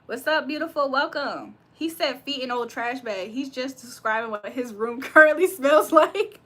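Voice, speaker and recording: A woman's voice: high-pitched, lively intonation, normal speed, fairly bright tone. A young woman from Virginia hosting a livestream, reading comments aloud and reacting with frequent laughs. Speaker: livestream host reading chat. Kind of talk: casual live chat.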